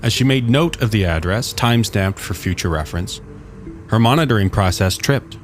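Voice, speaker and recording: Guy with a lowish voice narrating a sci-fi story, music playing behind him. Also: animated, moderate pace. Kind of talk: scripted science fiction story narration. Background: music under the voice.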